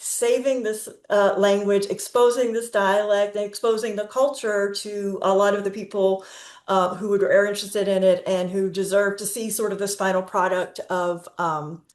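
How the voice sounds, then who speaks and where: A woman in her fifties giving a presentation on a video call, pausing often with fillers and restarts. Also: mid-range voice, flat delivery. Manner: speaks slowly with frequent fillers and restarts. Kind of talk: conference talk. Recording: roomy echo.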